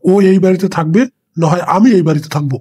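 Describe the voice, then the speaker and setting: A man voicing an exasperated, foul-mouthed village father in a comic cartoon, with a husky voice. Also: sits high, fairly even intonation, very fast, mellow. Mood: angry. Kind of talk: comic Bengali cartoon skit dialogue.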